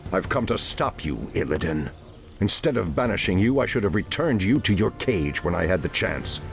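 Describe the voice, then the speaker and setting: A noble elven druid leader, speaks very low with wide, dramatic swings of pitch. He is solemn and authoritative, a fantasy game hero set over music. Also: big pitch swings, moderate pace, gravelly, exaggerated voice. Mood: resolute anger. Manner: grave, authoritative, formal. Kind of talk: dramatic video game cutscene acting.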